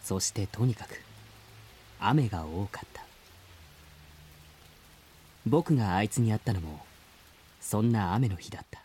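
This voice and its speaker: A man playing a wistful narrator in a radio drama, recalling childhood in a quiet, reflective way. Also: fairly low pitch, lively intonation, a little slow, pauses often, slightly rough, pitched below the performer's own voice.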